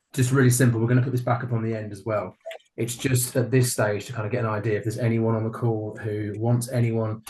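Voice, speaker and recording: A man hosting a webinar over a video call, hurried and apologetic as he cuts in. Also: fairly low pitch, a little mellow, poor audio. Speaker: webinar host. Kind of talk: webinar housekeeping.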